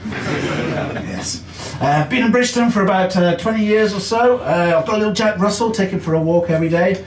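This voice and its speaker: A man's voice: sits high, a little fast, reverberant, distant mic, a little hiss or hum. An English man performing a chatty, self-deprecating stand-up comedy set in a basement comedy club. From England.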